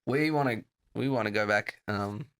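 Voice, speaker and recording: A man's voice: lowish voice, normal speed, fairly bright tone, clean tone, no echo, studio quality. A young man with an Australian accent, a country singer being interviewed, easygoing and agreeable in casual chat. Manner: easygoing, agreeable, laughs along.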